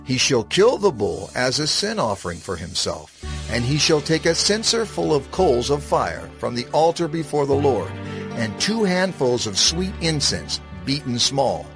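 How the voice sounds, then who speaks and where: Expressive male scripture narrator over music. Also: mid-range voice, creaky. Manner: measured, formal. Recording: prominent music bed under narration.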